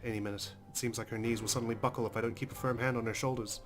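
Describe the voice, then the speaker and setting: A man's voice: mid-range voice, fairly even intonation, very fast. A man hosting a let's play, reading visual novel narration aloud dramatically with casual, emotionally involved comments. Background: game music.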